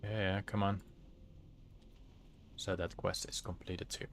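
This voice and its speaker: A man with a Dutch accent narrates his gameplay at a relaxed pace, often pausing to search for words. His voice is low. A faint hiss sits behind it. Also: creaky. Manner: halting, many fillers, searches for words. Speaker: streamer. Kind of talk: casual gameplay commentary.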